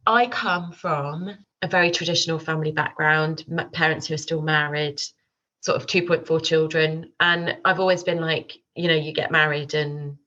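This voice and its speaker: A woman's voice: sits low, steady tone, normal speed, pushed and bright, dull audio. A woman guest with a cold, talking plainly and candidly in an interview. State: has a cold. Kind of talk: interview conversation.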